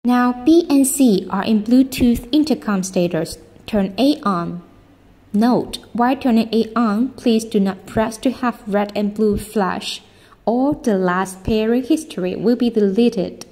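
A woman narrating a scripted product tutorial in a measured, step-by-step instructional manner. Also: fairly high pitch, mellow.

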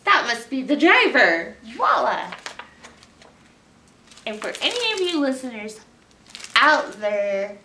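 A middle-aged American woman leading a children's story time, expressive as she talks to young listeners. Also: fairly high pitch, slow, long pauses, slight background noise. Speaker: librarian storyteller. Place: small library room, indoors. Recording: roomy, picked up from a distance.

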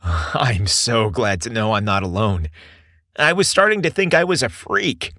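Man voicing a soft, hesitant young man. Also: lowish voice, animated, unhurried, dry recording, studio quality, heavily acted. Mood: relieved. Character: nervous hopeful young man.

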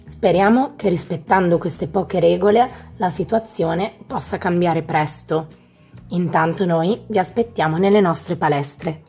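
A woman with a slightly low, husky voice narrates scripted instructions for young athletes. She explains things step by step, in an instructional manner, with music playing behind her. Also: a little slow, mellow. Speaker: gym staff narrator. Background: background music.

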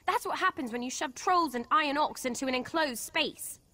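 A woman voicing a spirited young female adventurer in a video game, chatty, earnest and wryly expressive. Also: high voice, brisk, big-room sound. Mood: wry, matter-of-fact. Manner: expressive, wry. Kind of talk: video game character dialogue.

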